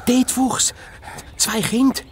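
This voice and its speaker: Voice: mid-range voice, fairly even intonation, takes it slowly, background noise, natural voice. A performer playing a hungry, naive hedgehog assistant in a children's audio drama, plaintive, with a Swiss German accent. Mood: excited.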